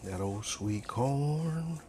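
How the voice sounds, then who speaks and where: A man's voice: mid-range voice, lively intonation, drawn-out words, mellow, slight background noise. A man chatting casually in an ASMR cook-along style as he cooks, playfully repeating and drawing out his words. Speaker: host chatting while cooking. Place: home kitchen, indoors.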